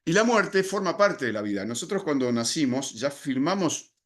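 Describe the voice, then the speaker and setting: A man's voice: a little high, big pitch swings, moderate pace. Older Argentine man, podcast guest, reflective and explanatory delivery with frequent restarts. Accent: Argentine Spanish. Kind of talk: podcast interview.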